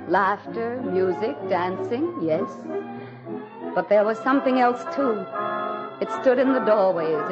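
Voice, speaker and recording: An actress playing a proud, anxious president's wife in a theatrical, emotional 1940s radio drama style. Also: female voice, mid-range voice, close to an everyday voice. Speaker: lead actress and first-person narrator. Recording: dull 1940s radio recording with music.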